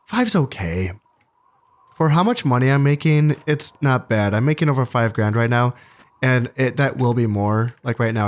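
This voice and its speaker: A man hosting a strategy game playthrough, talking casually and thinking aloud, congested from allergies and a bit low on energy. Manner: relaxed, thinking aloud with fillers and restarts. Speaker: gaming channel host.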